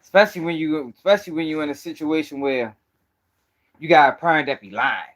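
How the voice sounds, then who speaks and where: A man's voice: on the high side, brisk, a bit bright. Profane Baltimore man chatting loosely. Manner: loose, profane street slang, talking to viewers while handling dogs. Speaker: host of a commentary channel. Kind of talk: casual livestream chat.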